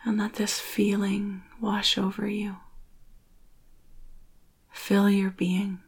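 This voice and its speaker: A woman guides a spiritual meditation in a breathy near-whisper. Her voice is husky with a frequent soft creak, and her intonation stays very even. She speaks soothingly, leaving long pauses between short phrases. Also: medium pitch.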